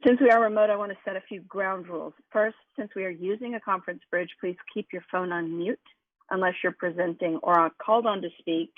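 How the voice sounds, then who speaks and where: A woman chairs a formal committee meeting, her voice slightly hoarse from allergies. She runs things in an orderly way, setting ground rules one by one. The sound is a tinny, dry phone line. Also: medium pitch, fairly even intonation, brisk, no room sound. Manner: organized, sets ground rules. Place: remote conference call. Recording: tinny conference call line.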